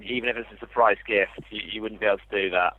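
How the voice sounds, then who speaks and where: A man's voice: on the low side, fairly even intonation, quick pace. A man working as an insurance call handler, patiently explaining things over a tinny phone line. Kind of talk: customer service phone conversation.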